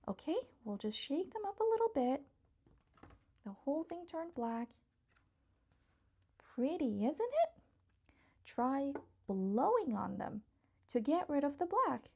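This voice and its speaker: Female children's read-aloud host: high, swooping delivery, unhurried, encouraging, talks straight to little listeners with a touch of husky fry. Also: a little mellow. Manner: encouraging, coaxing, addressing small children directly; playful, exclamatory reactions. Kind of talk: interactive children's picture book read-aloud.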